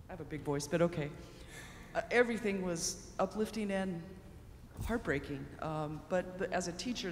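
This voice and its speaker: High-voiced man asking from the floor. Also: a little hiss or hum. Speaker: audience member asking a question. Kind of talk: audience question at a panel. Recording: echoey hall, long reverb.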